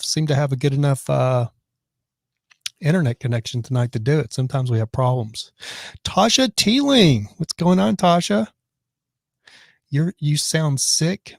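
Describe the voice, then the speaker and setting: A man hosting a live stream from his office, reading viewer comments and rambling in casual chat, his voice raspy from choking on his dinner. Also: on the high side, darkish tone, no echo, studio quality. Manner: rambling and chatty, many restarts.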